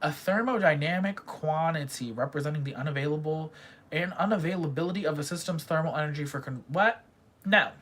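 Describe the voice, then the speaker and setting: A woman's voice: sits low, fairly quick, breathy edge. A young woman casually reviewing pop albums in a vlog, chatty and gushing, sometimes dropping to a whisper. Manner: chatty fangirl, gushing, drops into quiet whispers.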